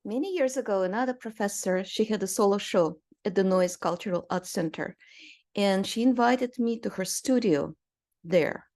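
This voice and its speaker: A woman's voice: medium pitch, normal speed, darkish tone. Woman in her sixties telling her story. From Romania. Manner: storytelling with fillers and light laughs. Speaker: guest artist. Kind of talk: conversational interview.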